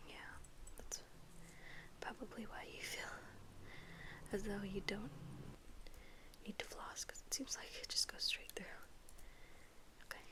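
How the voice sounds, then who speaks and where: A woman speaking in a breathy whisper for an ASMR dentist role play, gently reassuring and halting, with pauses. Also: mid-range voice, steady tone. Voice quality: breathy, mostly unvoiced whisper.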